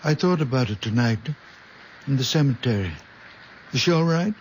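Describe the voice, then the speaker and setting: A man playing a gentle, lonely very old father with healing hands in an old radio drama, speaking softly and haltingly. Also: mid-range voice, lively intonation, close to an everyday voice, rough recording. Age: older. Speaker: aged father. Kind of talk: 1970s radio drama acting. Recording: old radio broadcast with hiss.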